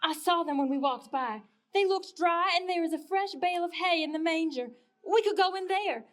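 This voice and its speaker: A woman's voice: extremely high pitch, normal speed. A woman voicing an earnest, theatrical female donkey, proud yet humble, in a puppet show. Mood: eager.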